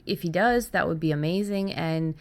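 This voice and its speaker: A woman with a notably low-pitched voice hosts a relaxed podcast conversation. She explains informally and backs up the other speaker as she goes. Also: normal speed. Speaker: podcast host.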